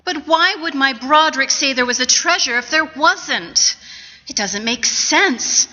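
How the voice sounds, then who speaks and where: A sentimental, long-suffering wife in a radio comedy played by a woman. Her voice is high and bright, carried in a slightly dull, reverberant hall recording. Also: lively intonation, a little slow, exaggerated voice. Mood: dismayed. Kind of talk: radio comedy stage acting. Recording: muffled, reverberant event hall.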